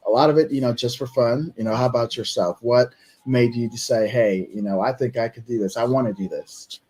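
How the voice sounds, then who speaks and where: A man's voice: mid-range voice, fairly quick, darkish tone, breathy edge, rough recording. A man from Barbados hosting a radio interview show, chatty and easygoing, asking questions. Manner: easygoing, chatty, laughs along. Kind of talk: casual interview.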